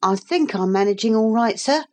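A woman voicing a polite, modest young male official in a storybook, talking briskly and deferentially. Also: a little high, lively intonation, pitched above the performer's own voice, natural voice. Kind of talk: audiobook character voice.

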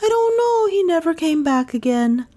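A woman voicing an excitable, chatty old villager in a storybook, full of exclamations. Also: very high-pitched, moderate pace, pitched above the performer's own voice. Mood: gossipy. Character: excitable old villager, gossiping about lost neighbours.

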